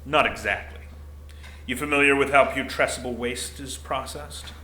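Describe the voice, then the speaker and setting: Man acting a blunt security commander, bright echoey voice. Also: medium pitch, moderate pace, natural voice. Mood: dry, businesslike. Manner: blunt, dry, bureaucratic. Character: gruff paunchy desk-bound security commander, middle-aged man. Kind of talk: audio drama acting. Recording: roomy echo, faint noise.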